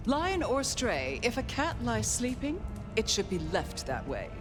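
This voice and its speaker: An actress playing a haughty, languid ancient vampire aristocrat in a video game, with a theatrical, condescending drawl. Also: female voice, a little high, wildly swooping pitch, measured pace, over music. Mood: languid disdain. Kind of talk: dramatic video game acting.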